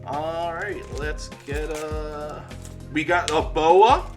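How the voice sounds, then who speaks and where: A man's voice: fairly high pitch, steady tone, extremely slow, music in the background. A young man who has a stutter and leans on um and uh, chatting casually while he opens trading card packs. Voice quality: has a stutter, uses um and uh as fillers. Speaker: card-opening YouTuber.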